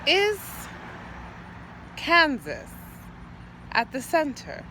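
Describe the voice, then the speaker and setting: A woman poet performing an improvised spoken-word monologue outdoors, theatrically, in short halting phrases with repeated words. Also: high voice, wildly swooping pitch, bright, forceful tone, a little hiss or hum, rough recording. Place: outdoors, standing or walking.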